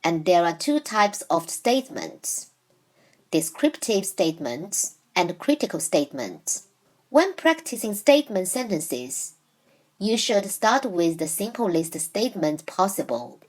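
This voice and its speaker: A woman whose first language is Chinese, teaching Mandarin in a scripted lesson, speaking in a measured, clearly enunciated way and slowing down for example sentences. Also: lowish voice, very animated, low-quality recording.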